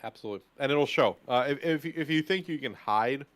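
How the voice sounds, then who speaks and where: A man's voice: medium pitch, a little fast, fairly bright tone. A man co-hosting a casual podcast, talking emphatically and confidently with a joking edge. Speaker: podcast co-host.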